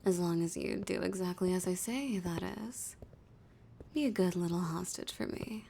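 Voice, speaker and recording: A woman playing a flirty, dominant villain in an intimate audio roleplay, teasing and menacing a hostage in a breathy, husky voice that slips into whispers. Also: on the low side, normal speed, dark tone, a little hiss or hum. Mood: smug, commanding.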